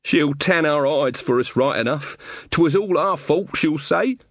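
A man's voice: on the high side, measured pace, dry recording, voice pushed up. A man voicing a tearful, fearful village man in a storybook, moaning and wailing in rustic grammar. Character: Carter, whining, fearful, tearful village folk dancer.

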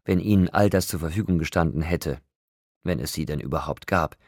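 A man's voice: rumbling low, brisk, darkish tone, no room sound, studio quality. A man voicing a haughty, cold blonde woman with an emphatic, contemptuous manner in a story. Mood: scornful, insistent.

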